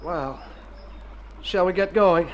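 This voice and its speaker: A man's voice: sits high, takes it slowly, slight background noise, rough recording. An actor playing an earnest, plaintive convalescing city man in a radio drama, theatrical and wordy. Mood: weary, complaining. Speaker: storyteller and lead character in a radio play. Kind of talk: Golden Age radio drama acting. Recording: old radio recording with music.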